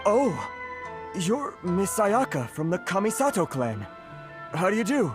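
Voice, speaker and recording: A man's voice: high-pitched, big pitch swings, a little slow, music in the background. An actor playing a worried but polite merchant in a video game, hesitant and flustered as he talks. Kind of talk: scripted video game dialogue.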